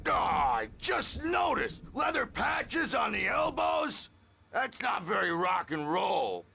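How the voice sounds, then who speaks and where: An actor playing a rowdy, belligerent, crazy old rock-and-roll man in a video game, with a gravelly voice and blustering, erratic delivery. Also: high voice, big pitch swings, takes it slowly, pushed and bright, shouting, exaggerated voice. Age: older. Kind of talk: video game character acting.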